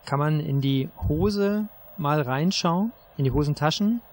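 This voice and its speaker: A man's voice: on the high side, a little slow, a little mellow. A man speaking casually as a contestant in an audio mystery game, politely asking what he can look at.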